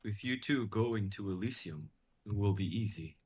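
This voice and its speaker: Man acting a deep, brusque underworld guide. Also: lively intonation, normal speed, a little mellow, natural voice. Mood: commanding. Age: young adult.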